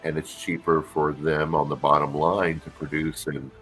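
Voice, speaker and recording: A middle-aged man, an actor guest with a deep voice, talking casually in an interview with lots of fillers and restarts. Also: moderate pace, soft background music. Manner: relaxed, rambling, many fillers and restarts.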